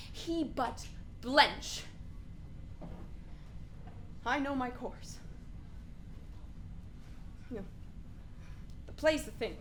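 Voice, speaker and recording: A woman playing an anguished, brooding young prince in a Shakespearean radio play, speaking in halting, deliberate soliloquy. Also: high voice, fairly bright tone, a little hiss or hum. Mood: scheming, resolved.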